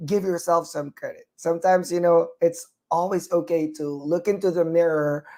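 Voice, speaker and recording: A man's voice: on the high side, fairly even intonation, normal speed, darkish tone. A man with a Filipino accent, a nurse practitioner co-hosting a talk show, giving earnest, encouraging advice with many fillers and restarts. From Philippines.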